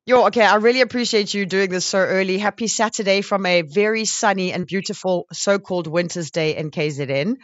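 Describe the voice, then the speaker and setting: A woman's voice: mid-range voice, brisk, hardly any pauses, dry recording. Chatty South African woman host, slightly muffled. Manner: chatty, informal, effusive. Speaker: radio or show host interviewing a guest. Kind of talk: conversational interview.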